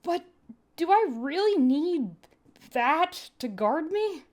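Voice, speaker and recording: A woman's voice: high-pitched, sing-song, slow, voice pushed up, exaggerated voice. A woman performs a nervous medtech for a sci-fi audiobook, in a raised voice. The character sounds hesitant, uneasy and unconvinced. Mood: nervous, doubtful.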